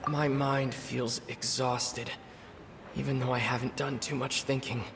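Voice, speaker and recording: A man playing a pensive young traveler in a video game, musing deliberately in an echoing inner monologue. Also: medium pitch, little pitch movement, noisy background. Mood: pensive, uneasy. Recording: long echoing reverb. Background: music.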